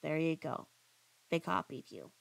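A woman giving critical reaction commentary in a pointed, halting, questioning manner. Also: low voice, vocal fry. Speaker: commentary vlogger.

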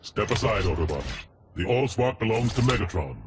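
A man's voice: on the low side, animated, brisk, very noisy, lightly acted. An actor playing a threatening, ruthless robot henchman in a video game cutscene. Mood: menacing. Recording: very loud music and vehicle sounds behind.

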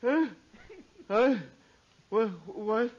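A man's voice: very high voice, drawn-out words, a loud crowd, full character voice. An actor playing a frazzled, whimpering department store salesman haunted by nightmares in an old radio comedy. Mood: shaken, fretful. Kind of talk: 1950s radio comedy acting. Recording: muffled, noisy old radio recording.

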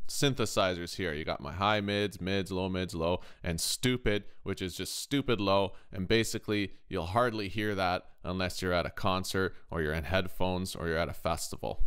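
A man hosting a casual software tutorial from a kitchen, chatty and informal, often checking in with questions. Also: lowish voice, clean tone. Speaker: tutorial host, touring guitar tech.

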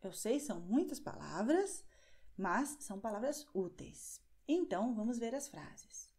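A young woman teaching beginner phrases, speaking slowly and clearly, repeating each example and checking with "Ok?", recorded on a webcam in a small room at home. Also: a little high, big pitch swings, darkish tone. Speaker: language teacher.

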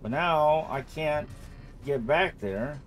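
A man's voice: on the high side, slow pace, a little hiss or hum. A man giving casual let's-play commentary, thinking aloud and often repeating his phrases as he goes. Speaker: gamer narrating own playthrough.

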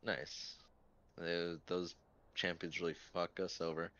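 A man's voice: low-pitched, level tone, slow pace, fairly bright tone. A man chatting casually and swearing freely as he talks game strategy with friends. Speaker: gamer planning team bans. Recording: dull lo-fi voice chat.

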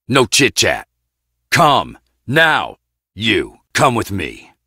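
A very low-voiced, brooding male scientist from a video game. He is gruff and guarded, speaking in terse, deadpan phrases. The recording is close and dry. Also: drawn-out words, dry recording, exaggerated voice. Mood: curt, commanding.

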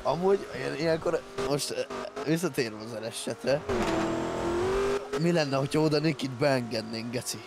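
A man's voice: medium pitch, very noisy. A man chatting casually in a roleplay game, with crude joking. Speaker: fellow roleplay gamer.

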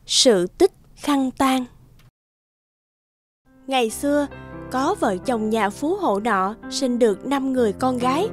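A woman reading scripted storybook narration for an animated tale. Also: high voice, slow, long pauses, music in the background.

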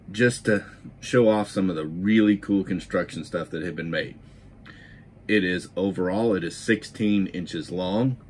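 A man's voice: sits low, a little hiss or hum. A middle-aged man hosting a toy model channel, moving from scripted history narration into an enthusiastic, casual product review. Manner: enthusiastic, emphatic repetitions.

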